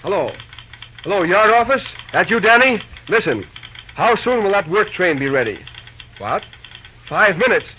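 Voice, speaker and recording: Busy railroad night dispatcher: male, fairly high voice, brisk folksy slang, vintage radio play with noisy sound. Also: very animated. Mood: businesslike. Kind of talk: old-time radio drama acting. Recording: muffled, noisy old radio recording.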